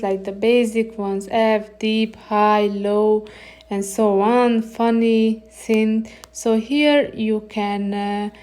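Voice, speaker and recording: A woman's voice: medium pitch, a little mellow. A woman hosting a how-to tutorial, narrating step-by-step instructions. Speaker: tutorial host.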